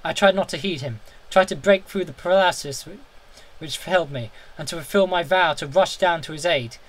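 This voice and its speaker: A man with a slightly high voice reads a gothic horror tale aloud. His delivery is theatrical and intense, with the occasional stumble over the words. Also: moderate pace, rough recording. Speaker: audiobook reader. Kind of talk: dramatic first-person horror story narration.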